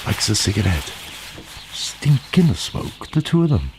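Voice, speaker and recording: An actor playing a blunt, chatty, grumbling road worker in a radio play, with colloquial speech. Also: male voice, on the low side, very animated, unhurried, noisy background. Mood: brisk, practical. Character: matter-of-fact, grumbling working man gritting roads.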